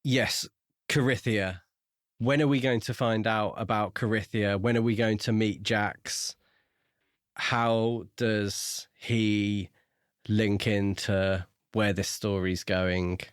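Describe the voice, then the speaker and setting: Low-voiced man chatting on a book podcast. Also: fairly even intonation, slow pace, clear, resonant tone, no echo, clean studio sound. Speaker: podcast co-host discussing a novel. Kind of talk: casual book-club chat.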